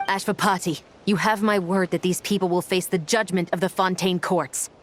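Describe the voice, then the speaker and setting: A woman's voice: fairly low pitch, steady tone, normal speed, lightly acted. A woman playing a stern law enforcer in a video game, with an authoritative, declamatory voice. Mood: stern, commanding.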